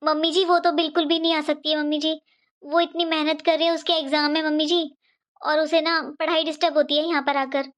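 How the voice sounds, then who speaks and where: A young daughter-in-law in a cartoon family drama played by a woman, with a high-pitched voice. She is gentle and dutiful, always polite and deferential as she soothes her elders. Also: level tone, brisk. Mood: polite, explaining. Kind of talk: animated family drama dialogue.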